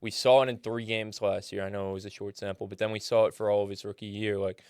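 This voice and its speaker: Male co-host, sniffly with a cold, low clear voice, opinionated and loose. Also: level tone, quick pace, darkish tone, dry recording, studio quality. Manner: opinionated, fillers like "like" and "right". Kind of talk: casual fantasy football chat.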